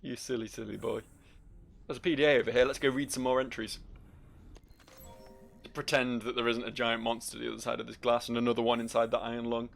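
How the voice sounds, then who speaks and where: Gravelly man doing jokey game commentary. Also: mid-range voice, little pitch movement, fast, faint music behind. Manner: jokey, sweary, theatrical when reading aloud. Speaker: video game let's-player. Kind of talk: casual game commentary, reading entries aloud.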